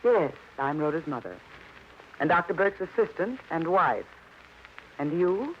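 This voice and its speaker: Arch, mocking middle-aged wife. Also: female voice, low voice, animated, measured pace. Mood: sardonic. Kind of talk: 1950s American radio crime drama acting. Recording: dull old radio recording, faint noise.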